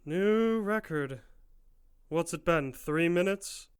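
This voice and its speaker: A man playing a smooth, condescending male professor in an audio drama, sounding self-assured and patronising. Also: fairly high pitch, expressive, extremely slow. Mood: exasperated.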